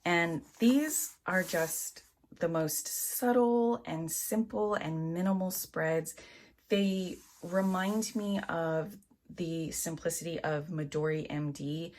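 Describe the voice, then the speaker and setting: Woman, vlogger, low voice, slow unhurried delivery, calm detailed walkthrough. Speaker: planner and journal vlogger. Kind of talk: casual product walkthrough.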